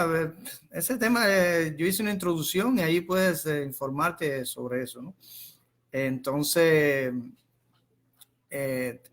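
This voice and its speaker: A man with a Cuban accent giving an informal political monologue, haltingly repeating words and phrases and putting rhetorical questions to the listener. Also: fairly high pitch. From Cuba. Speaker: political commentator on a live stream.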